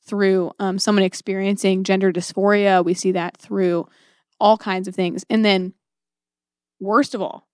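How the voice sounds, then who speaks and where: A woman's voice: medium pitch, level tone, measured pace, smooth, no echo. Woman, mid-range voice, earnest and reflective, conversational faith discussion, repeats phrases for emphasis, dry sound. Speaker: co-host of a book discussion series.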